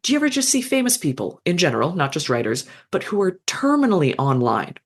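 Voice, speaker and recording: A person in a casual podcast conversation who talks in an animated, outspoken way and swears now and then. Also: fast talker, husky. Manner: animated, swears, big jumps in pitch. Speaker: podcast participant.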